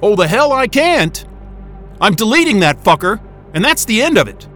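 A frantic, worn-out crewman in a sci-fi audio drama. His voice runs high and swings widely as he stammers and curses angrily, with music behind him. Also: big pitch swings, moderate pace, fairly bright tone. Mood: defiant, angry. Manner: agitated, sweary, stammering. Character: frantic, exhausted, angry male station crewman.

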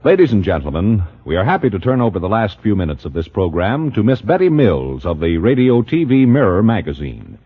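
Formal 1950s radio announcer, animated man. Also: fairly low pitch, fairly quick, few pauses, breathy edge. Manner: formal, polished presentation. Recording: muffled old radio recording with music.

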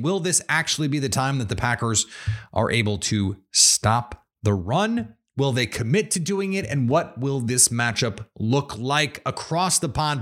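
Brisk upbeat man hosting a podcast. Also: mid-range voice, fairly bright tone. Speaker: podcast host. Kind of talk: casual sports podcast talk.

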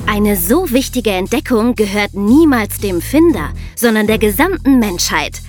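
A woman's voice: medium pitch, lively intonation, unhurried. A young woman co-hosting a podcast, presenting scripted narration and explanations for young listeners. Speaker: podcast co-host. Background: music.

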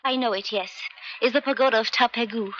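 An actress playing a loyal, lovestruck young island guide with a foreign accent and earnest, broken English, in a 1970s radio drama. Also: female voice, a little high, quick pace, a bit bright, close to an everyday voice. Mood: assured. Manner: simple clipped phrases, earnest. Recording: muffled noisy 1970s radio broadcast.

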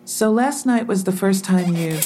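An actress playing a businesslike police officer who presses with matter-of-fact questions in an audio drama. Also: female voice, mid-range voice, unhurried, warm tone, a little hiss or hum, close to an everyday voice. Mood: probing, businesslike.

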